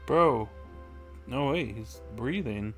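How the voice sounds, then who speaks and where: Very deep-voiced woman streaming casually. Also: animated, takes it slowly. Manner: offhand, slangy. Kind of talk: casual live game reaction. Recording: loud game music behind the voice.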